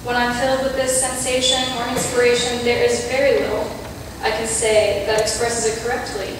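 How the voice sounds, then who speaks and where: A woman's voice: fairly high pitch, little pitch movement, noisy background. Young woman reading in an echoey church. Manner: earnest, measured, with light self-deprecating asides. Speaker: youth speaker. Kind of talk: prepared reflection read in church. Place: large church sanctuary, at a pulpit. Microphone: pulpit mic, camera far off. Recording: echoey church hall from far off.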